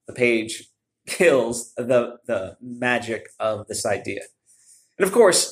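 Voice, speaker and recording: A man talking in a casual, reflective vlog monologue, conversational and halting, often checking in with the listener as he goes. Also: mid-range voice, a bit bright. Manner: conversational, halting, often seeking agreement with "right?". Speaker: vlogger, writer.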